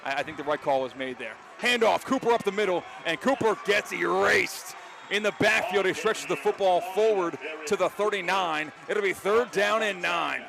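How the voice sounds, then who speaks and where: An American man calling live football play-by-play, descriptive and animated, from an outdoor stadium. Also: sits high, normal speed, gravelly. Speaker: play-by-play announcer. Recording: live stadium broadcast with loud background noise. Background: loud stadium noise.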